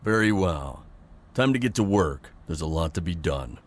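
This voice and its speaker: A man acting as an authoritative police chief with a low-pitched voice. He briefs his team in a measured, formal way, matter-of-fact and in command, as in an audio drama. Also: lively intonation. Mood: businesslike, firm. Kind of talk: audio roleplay briefing monologue.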